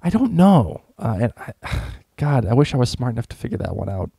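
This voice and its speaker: Male podcast host, mid-pitched and mellow, informal banter, hesitant phrasing, dry sound. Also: very animated, a little fast, vocal fry, husky, no room sound. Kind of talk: casual TV fan podcast chat.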